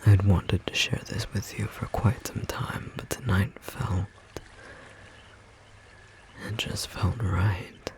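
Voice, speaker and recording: A man playing a gentle, romantic storm sorcerer in intimate ASMR roleplay, speaking tenderly in a soft, breathy, slightly rough voice. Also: low-pitched, level tone, heavily acted. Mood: tender, sincere. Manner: soft, slow, tender murmuring with pauses.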